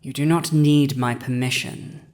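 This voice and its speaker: A woman's voice: a bass voice, fairly even intonation, a little mellow. A woman playing a proud villainous dragon who has seized a human throne, speaking formal, archaic lines in a scripted audio roleplay. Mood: remorseful, subdued. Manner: formal archaic diction, haughty, deliberate.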